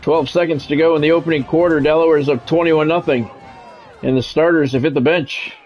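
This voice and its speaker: Higher-voiced man calling a football video game. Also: steady tone, brisk, dull audio, faint music behind. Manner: announcer-like calls with casual asides. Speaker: streamer and play-by-play commentator. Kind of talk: live sports game commentary mixed with chat.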